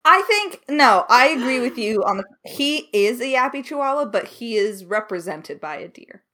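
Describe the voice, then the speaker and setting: A woman's voice: fairly high pitch, sing-song, normal speed, a bit bright. A woman co-hosting a creator Q&A, chatting casually with playful, mock-serious emphasis. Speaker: podcast creator and co-host.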